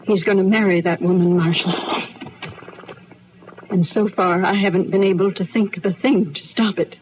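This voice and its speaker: A woman's voice: medium pitch, fairly even intonation, normal speed, lightly acted. A woman playing a proud, plain-spoken frontier farm wife with a rural American accent in an old radio western. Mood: resigned, earnest. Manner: plain-spoken, folksy, firm. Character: proud, strong-minded frontier farm wife fighting for her husband. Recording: muffled old radio broadcast, faint noise.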